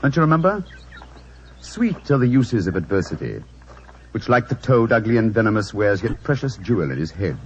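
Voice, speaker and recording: An actor playing a cultured English detective, urbane and eloquent, in theatrical 1940s radio style. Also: male voice, fairly low pitch, sing-song, moderate pace. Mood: lyrical, reciting. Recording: noisy, muffled 1940s radio recording.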